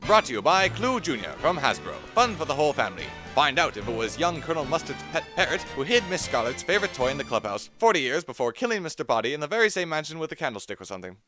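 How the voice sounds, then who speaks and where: A man's voice: fairly high pitch, big pitch swings, quick pace, few pauses. A man playing a radio announcer, giving scripted, mock-dramatic narration for a parody play. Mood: mock-serious. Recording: music behind the voice.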